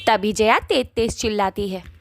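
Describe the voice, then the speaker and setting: Lady narrating a cartoon story, medium pitch, super dry recording. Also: a little slow, fairly bright tone, no echo.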